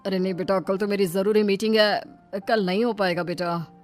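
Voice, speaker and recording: A woman's voice: medium pitch, fairly even intonation, fairly quick, pitched below the performer's own voice, lightly acted. Brief: female performer as scolding husband and dad in a cartoon moral tale, brusque, belittling, music behind. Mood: dismissive. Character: dismissive, thin husband and father, scolding.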